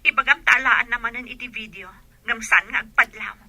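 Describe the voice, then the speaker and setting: Earnest Filipino daughter, high, piercing, breathy. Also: female voice, fairly quick, sharp tone, no room sound. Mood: firm, instructing. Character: dutiful, emotional grown daughter of wealthy family. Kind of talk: radio drama acting.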